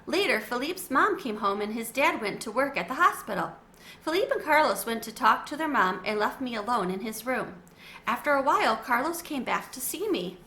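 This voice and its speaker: A woman reading a children's chapter book aloud with expressive, animated storytelling. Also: a little high, normal speed. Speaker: storybook reader. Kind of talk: children's read-aloud narration.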